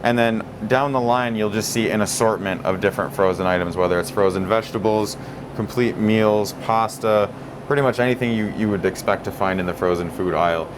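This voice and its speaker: Man, store manager, low voice, easygoing walk-through talk, steady background hum. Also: a little fast. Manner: relaxed, many fillers and restarts. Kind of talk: casual store tour talk. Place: grocery store aisle.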